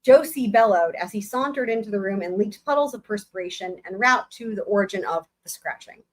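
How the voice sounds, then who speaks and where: Hoarse young woman with sarcastic asides. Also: mid-range voice, animated, fairly quick. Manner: sarcastic, blunt asides with swearing. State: losing her voice, hoarse. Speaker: livestream host reading a book aloud. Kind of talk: live dramatic reading with commentary.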